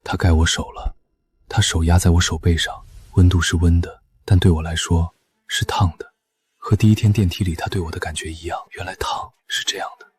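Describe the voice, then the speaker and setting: A man playing an aloof, icy lawyer who is secretly lovestruck, terse and restrained, with a husky voice, in acted drama. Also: deep voice, flat delivery, fairly quick, darkish tone, dry recording. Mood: hushed, yearning. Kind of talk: acted drama dialogue and inner monologue.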